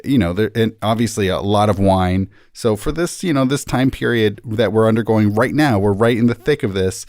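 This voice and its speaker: A man's voice: on the low side, moderate pace, hardly any pauses, darkish tone, no echo. A man speaking as a rabbi guest in a casual podcast conversation, explaining things in a conversational way.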